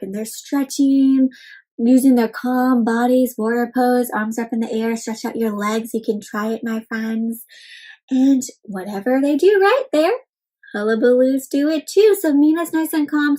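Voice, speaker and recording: A woman hosting an interactive picture-book read-aloud for young children, expressive and speaking directly to her listeners with questions. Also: sits high, low-quality recording.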